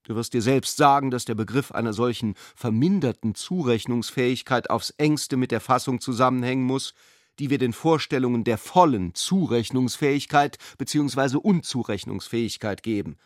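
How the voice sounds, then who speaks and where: A man's voice: mid-range voice, normal speed, no echo, studio quality, natural voice. A man voicing a pompous elderly jurist father. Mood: pedantic, insistent. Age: older. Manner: formal, pompous, long-winded. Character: pedantic, self-important elderly father, a jurist, dictating a letter. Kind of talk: letter read aloud in radio drama.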